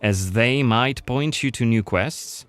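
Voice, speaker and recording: A man's voice: medium pitch, takes it slowly, clear, resonant tone. A man presenting a game in scripted voice-over narration. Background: faint music.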